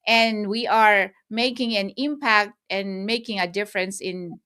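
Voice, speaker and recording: A woman's voice: medium pitch, fairly even intonation, a little slow, bright, forceful tone. A woman with a Filipino accent hosting an interview and prompting her guest, dropping in Filipino terms of respect. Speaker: host.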